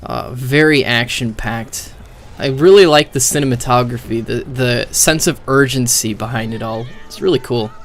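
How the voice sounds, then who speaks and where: A man's voice: medium pitch, moderate pace. A man giving casual, slangy streamer commentary on video game cutscenes, with swearing and incredulous questions.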